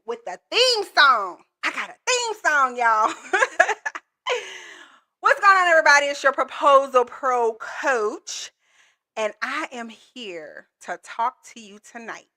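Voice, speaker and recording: High-energy, bright-voiced woman coach. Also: big pitch swings, slow. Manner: high-energy, playful, chatty, addresses viewers directly. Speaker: government contracting coach. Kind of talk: energetic livestream lesson.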